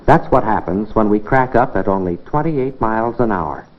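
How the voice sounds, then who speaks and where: A man's voice: lowish voice, animated, moderate pace. An American man presenting an educational science film in a scripted 1950s style, instructive and addressing the viewer directly with rhetorical questions. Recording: dull band-limited 1950s film soundtrack.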